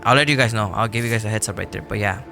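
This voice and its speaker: A man losing his voice from illness talks casually to his viewers, apologetic and rambling, clearing his throat often. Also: lowish voice, racing, music in the background. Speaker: gameplay YouTuber. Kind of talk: casual talk to viewers before gameplay.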